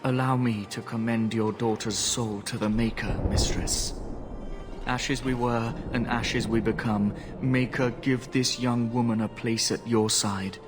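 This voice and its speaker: A man playing a devout holy knight in a fantasy game, speaking in a solemn, reverent and measured way. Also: medium pitch, steady tone, natural voice. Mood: solemn reverence. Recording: loud music under the voice.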